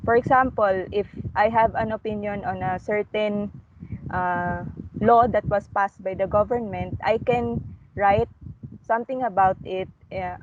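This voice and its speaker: A young woman with a Filipino accent whose first language is Tagalog, a student answering thoughtfully in a class discussion. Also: medium pitch. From Philippines. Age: young adult. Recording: dull lo-fi mic with clear noise.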